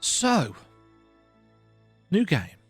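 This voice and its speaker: A man's voice: high voice, huge pitch swings, extremely slow, husky, no echo, faint music behind. A man in his thirties giving casual, rambling game commentary in a reflective, self-deprecating, conversational way. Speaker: video game let's play host.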